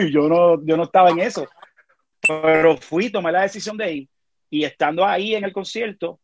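A man with a Puerto Rican accent, a podcast guest telling animated stories in a casual conversation. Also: sits high, normal speed, faint music behind. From Puerto Rico. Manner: animated storyteller, frequent restarts.

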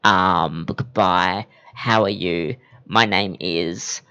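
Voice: very slow, smooth. A podcast host chatting casually with a guest, rambling and restarting sentences. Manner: rambling, restarts, lively pitch. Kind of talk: casual chat. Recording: dull lo-fi podcast audio.

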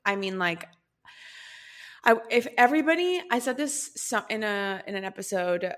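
A woman's voice: mid-range voice, expressive, normal speed, fairly bright tone. A woman hosting a podcast, talking in a chatty, rambling way with many fillers and asides. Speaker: podcast host. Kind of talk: casual podcast conversation.

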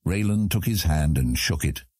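A man's voice: a bass voice, animated, normal speed, a little mellow, breathy edge, no echo, studio quality. A man reading scripted fantasy narration as an audiobook narrator.

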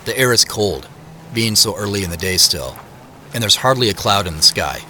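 First-person drama narrator, lowish pitch, bright forward tone, measured, observant, slight background ambience. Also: male voice, animated, a little hiss or hum. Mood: reflective. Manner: measured, descriptive. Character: Joseph, observant, wistful grown man back visiting a farm.

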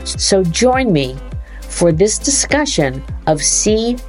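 A woman hosting a podcast, reading a scripted introduction and then chatting, who speaks expressively and slows down to stress key words. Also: medium pitch, big pitch swings, a little mellow, soft background music. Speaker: podcast host.

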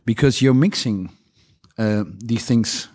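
A man's voice: medium pitch, slow pace, darkish tone, dry recording. A man hosting a panel discussion, talking hesitantly with many fillers but with enthusiasm.

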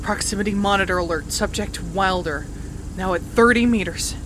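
A woman's voice: medium pitch, moderate pace, natural voice. An actress playing an irritated, sarcastic woman who drives a rover alone and mutters and swears to herself, in naturalistic audio drama. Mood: startled, confused. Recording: clear vehicle noise, rover cabin ambience.

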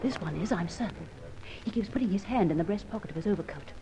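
An actress playing a poised, playful and sharp young lady accomplice in an old radio adventure serial. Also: medium pitch, animated, fast talker, natural voice. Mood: conspiratorial, clever. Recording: muffled, noisy vintage radio recording.

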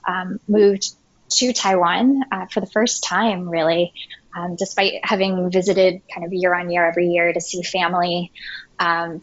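A woman from the United States, a podcast guest, talking in a friendly, earnest way with many ums and pauses. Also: fairly low pitch, dry recording, highs cut off. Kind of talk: casual interview talk.

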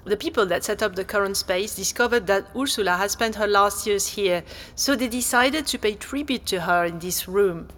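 An actress playing a helpful, slightly flustered exhibition guide, speaking politely and hesitantly in naturalistic audio drama dialogue. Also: female voice, mid-range voice, normal speed, a bit bright, natural voice. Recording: long hall-like reverb.

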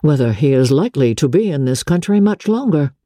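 Female reader as young period gentleman: dark husky tone, courteous, confiding, air of wounded dignity, classic novel reading. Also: low voice, very animated, fairly quick, dry recording, clean studio sound. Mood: hesitant. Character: genteel, smooth-talking young gentleman officer, wounded dignity. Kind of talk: dramatic audiobook character reading.

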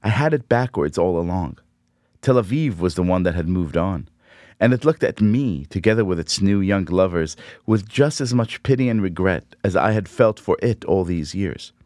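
A middle-aged man, Hebrew speaker, reading a personal essay aloud in a measured, reflective, wry way. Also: deep voice, lively intonation, a little mellow, no room sound, studio quality. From Israel.

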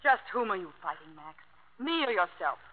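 A woman's voice: medium pitch, big pitch swings, moderate pace, close to an everyday voice. An actress playing a strong-willed young woman, biting and sarcastic with her lover, in a 1950s radio drama. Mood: accusing. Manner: biting, sarcastic, self-possessed. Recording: muffled vintage radio broadcast.

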